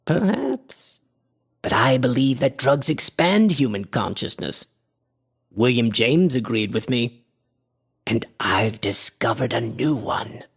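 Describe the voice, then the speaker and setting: An actor playing an obsessed, eccentric antiquarian visionary in a radio horror drama, with a husky, impassioned and pompous delivery. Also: male voice, mid-range voice, animated, slow pace, exaggerated voice. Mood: musing, persuasive.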